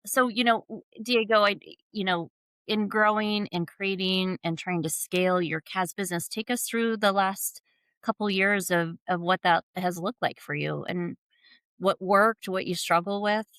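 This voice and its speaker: A woman's voice: mid-range voice, a little slow, fairly bright tone, dry recording. A woman co-hosting a podcast interview, enthusiastic and affirming. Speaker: podcast co-host.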